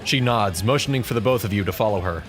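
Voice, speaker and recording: A man's voice: lowish voice, fast talker, soft background music. A man narrating a scripted second-person story as the narrator of an audio drama.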